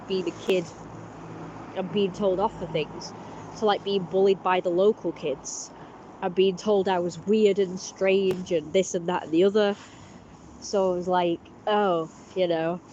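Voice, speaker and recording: A woman vlogging casually with a blocked-up, congested voice. She talks in a halting, rambling way, full of restarts and fillers. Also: medium pitch, highs cut off, a little hiss or hum. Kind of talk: casual personal vlog talk.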